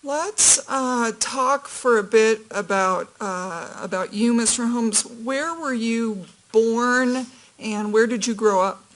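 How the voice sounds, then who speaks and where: A woman attorney questioning a witness in a courtroom in a measured, gentle way. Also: fairly high pitch.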